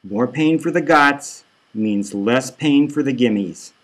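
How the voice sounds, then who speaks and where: A man's voice: mid-range voice, slow, fairly bright tone. A man voicing a smug masked extremist villain in an audiobook, pompous and speechifying. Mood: cold, emphatic.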